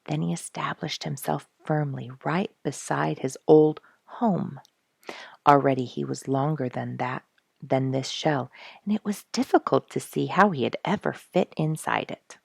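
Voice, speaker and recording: Low, husky woman storyteller. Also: a little slow, no room sound, studio quality. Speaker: storyteller, show host. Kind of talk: scripted storybook narration.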